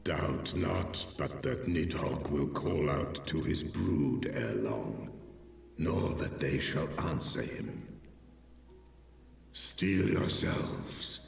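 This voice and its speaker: An actor voicing an ancient, solemn dragon in a video game, speaking in stately archaic phrases through a cavernous reverb. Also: male voice, expressive, extremely slow, heavily acted. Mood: grave warning. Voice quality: deep, gravelly. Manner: archaic, stately, portentous speech. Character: ancient, solemn male dragon, huge and grave. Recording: echoing long reverb, distant, faint music.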